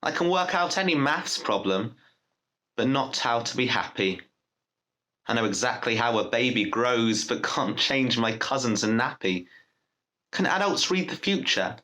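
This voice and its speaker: A young man reading his own poem aloud in measured, declarative phrases. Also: medium pitch, very animated, bright, forceful tone. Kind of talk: poetry recitation.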